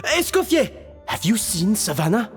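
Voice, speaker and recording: A woman's voice: mid-range voice, very animated, normal speed, big-room sound, faint music behind, lightly acted. A woman voicing a concerned, polite young female colleague in a video game. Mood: worried.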